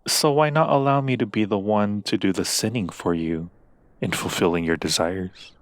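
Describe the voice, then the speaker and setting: A man's voice: on the low side, no echo, natural voice. A man playing a seductive, coaxing demon in an ASMR roleplay, speaking with silky, formal persuasion to a mortal. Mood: persuasive. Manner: slow, silky persuasion with formal, deliberate wording.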